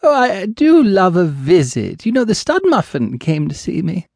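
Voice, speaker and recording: A man's voice: high-pitched, huge pitch swings, normal speed, creaky, a little mellow, no room sound, studio quality, voice pushed up, exaggerated voice. A man reading an audiobook, voicing an elderly female ghost witch who is doting, nosy and fond of calling people dearie. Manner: fluttery, motherly, teasing, calls others dearie.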